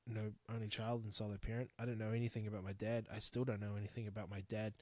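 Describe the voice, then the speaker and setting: A man's voice: fairly low pitch, flat delivery, quick pace, dark tone, dry recording. A man in his thirties with a New Zealand accent, hosting a podcast alone, talking bluntly and frankly, swearing freely as he rambles. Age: 30s. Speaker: podcast host. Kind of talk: candid solo podcast monologue.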